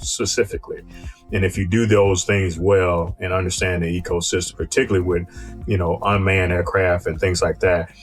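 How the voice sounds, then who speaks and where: A man with a deep voice talks as a podcast co-host, with faint music behind him. He explains business matters in a loose, conversational way and often tags his points with a checking "right?".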